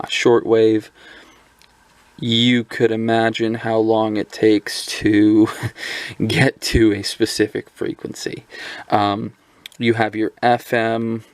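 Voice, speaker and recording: A man's voice: on the low side, no room sound. A man giving a casual hands-on review of a handheld radio, talking loosely with lots of ums and restarts. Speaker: product reviewer.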